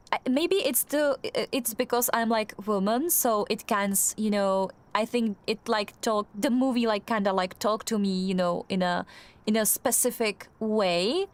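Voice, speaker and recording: A young woman with a Czech accent chatting casually while streaming a game, hesitant and full of fillers and restarts. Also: on the high side. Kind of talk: casual chat while gaming.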